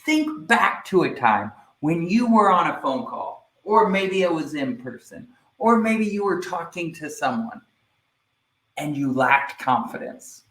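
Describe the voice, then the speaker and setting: A man giving a live online sales training, energetic and speaking directly to viewers, often checking in with "right?". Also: a little high, a little slow, reverberant, low-quality recording.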